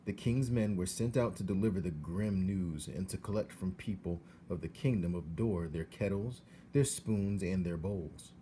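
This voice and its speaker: A man narrating a storybook aloud in an expressive scripted reading. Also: low voice, normal speed, a little hiss or hum.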